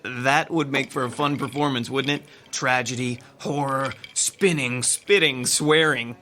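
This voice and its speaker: A man's voice: mid-range voice, steady tone, measured pace, pushed and bright, a little hiss or hum. A man playing Alan, a wry, sarcastic theater colleague who teases his friends. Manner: dry, sarcastic. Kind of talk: radio drama acting.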